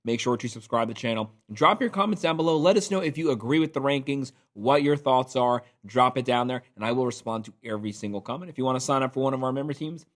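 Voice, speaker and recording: Guy doing fast opinionated football poll reactions, still sounds a little off from being sick, average pitch. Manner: energetic, opinionated, rapid-fire sports talk. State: recovering from illness, voice a little off. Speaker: college football channel host. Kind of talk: solo poll reaction commentary.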